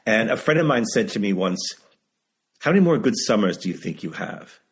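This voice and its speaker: A man's voice: lowish voice, sing-song, brisk, husky. A seventy-year-old man talking candidly as an interview guest, emphatic and repeating phrases for stress. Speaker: guest, author and writing teacher. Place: video call.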